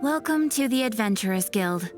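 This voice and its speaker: Actress as a composed, high-voiced receptionist. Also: female voice, lively intonation, over music, close to an everyday voice. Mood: calm, helpful. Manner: polite, measured, formal. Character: courteous, composed female guild receptionist. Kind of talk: anime video game voice acting.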